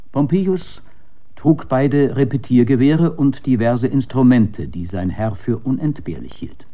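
A man narrating a literary story in a measured, deliberate radio storytelling manner with dramatic emphasis. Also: medium pitch. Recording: slightly dull, band-limited radio recording.

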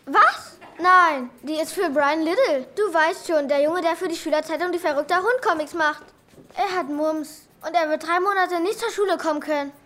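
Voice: very high voice, normal speed, sharp tone. An actor playing a chatty, youthful middle-school girl in a German audio drama. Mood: earnest.

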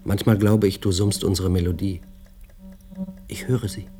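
A man acting a haunted physicist in a German radio play, speaking in an introspective, halting and at times declamatory manner. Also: low voice, fairly even intonation, dark tone, faint music behind. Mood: tender, brooding. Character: troubled male physicist, haunted and brooding, lecturing on particles.

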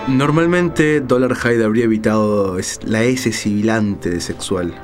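A man's voice: on the low side, measured pace, over music. A man narrating a suspense story in a dramatic literary style.

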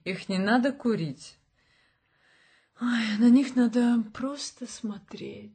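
A woman in a radio play acting a refined, fussy, dreamy woman with a breathy, sighing delivery. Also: fairly high pitch, animated, slow pace, mellow, heavily acted. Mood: dreamy, disdainful.

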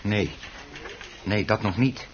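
An actor playing a secretive, henpecked husband in a Dutch radio play, hesitant and often repeating himself. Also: male voice, fairly low pitch, takes it slowly. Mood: proudly secretive. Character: secretive henpecked husband obsessed with his rare plant. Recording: dull noisy old radio play recording.

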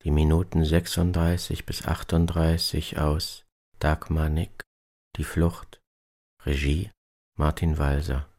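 A man reading scripted radio announcements in a measured, deliberate way. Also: extremely low pitch, level tone, a little mellow.

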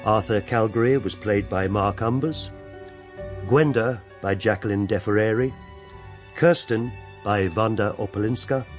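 Male announcer, lowish voice, scripted cast credits, clear music bed. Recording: music behind the voice.

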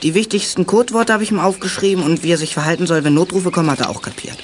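A man's voice: high voice, rapid-fire, slight background noise, close to an everyday voice. An actor playing a keen, cheeky boy detective sidekick with a colloquial manner in a German youth radio play. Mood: eager.

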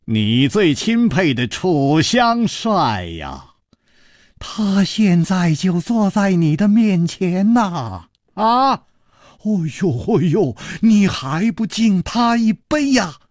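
A man voicing an effusive male banquet host who gushes in a raised, excited voice. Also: fairly low pitch, drawn-out words, no echo, clean studio sound, exaggerated voice. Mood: gushing. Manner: effusive and gushing.

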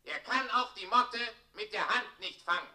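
An actor playing an officious town crier in a radio play, declaiming bureaucratic proclamations. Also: male voice, high voice, expressive, normal speed, pushed and bright, exaggerated voice. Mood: pompous, official. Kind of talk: German radio play acting. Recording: roomy distant radio play, music behind.

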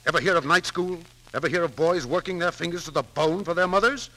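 A man playing a gruff, blustering but principled businessman in 1940s radio drama, speaking bluntly and emphatically. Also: on the high side, fast, pushed and bright, poor audio. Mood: stern, sarcastic. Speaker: actor. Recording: 1940s radio broadcast recording.

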